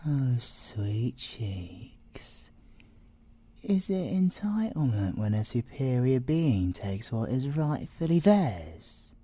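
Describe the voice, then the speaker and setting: A man's voice: mid-range voice, very animated, slow, dark tone, breathy edge, full character voice. A British man voicing an arrogant, flirtatious male siren thief in an ASMR audio roleplay, teasing and theatrical, sometimes dropping to a whisper.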